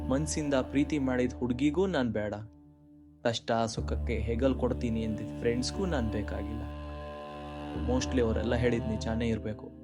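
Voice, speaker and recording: A man's voice: medium pitch, steady tone, normal speed, music in the background, close to an everyday voice. A man voicing a kind-hearted, jobless young man in a cartoon story, earnest and reflective. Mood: hurt, dejected. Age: young adult. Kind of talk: dramatic monologue and dialogue in cartoon story.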